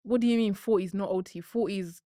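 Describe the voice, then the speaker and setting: A woman's voice: medium pitch, racing, dark tone, dry recording, clean studio sound. Chatty British woman podcast guest. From born in Spain, raised in Britain. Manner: chatty, laughs a lot. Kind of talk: casual chat.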